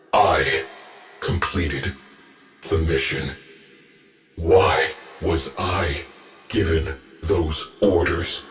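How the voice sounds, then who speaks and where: An actor playing a cold, mournful male ship AI who speaks in terse, clipped reports. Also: deep voice. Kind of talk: game voice acting.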